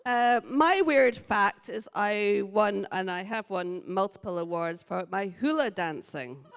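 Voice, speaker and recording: A woman's voice: mid-range voice, animated, unhurried. A woman with a Scottish accent and a slight American twang, talking enthusiastically and rambling a little as she leads a panel audience in a dance. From Scotland and Hawaii. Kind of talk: lively casual talk leading a dance. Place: large hall at a festival. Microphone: handheld mic, later put down and heard from further off. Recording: reverberant hall.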